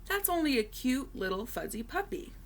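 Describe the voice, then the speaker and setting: A woman's voice: sits high, big pitch swings, normal speed, a little hiss or hum, voice pushed up. A woman voicing a sneaky burglar from a children's storybook, in an exaggerated, exclaiming way. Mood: dismissive, cooing. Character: sneaky burglars whispering, jumpy and cooing, in a storybook.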